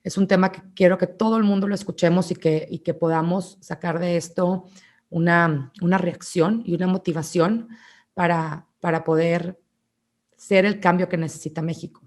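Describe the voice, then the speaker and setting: A young woman with a Mexican accent hosts an interview and sounds eager and enthusiastic. Her voice sits a little lower than most. She introduces her guest and asks her questions with obvious excitement. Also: moderate pace. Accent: Mexican Spanish. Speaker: host. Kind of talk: interview hosting.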